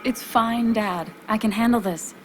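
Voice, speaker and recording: A woman's voice: a little high, measured pace, faint music behind, lightly acted. A woman playing a brave, stubborn adult daughter in a video game drama, firm and a little weary, speaking informally. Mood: firm, reassuring.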